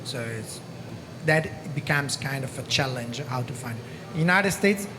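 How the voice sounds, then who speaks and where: A man's voice: medium pitch, lively intonation, moderate pace. A man in his thirties from Italy with an Italian accent, speaking on a conference panel into a handheld mic, earnest and repeating "okay?" often. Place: large conference hall stage. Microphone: handheld microphone. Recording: reverberant hall with background noise.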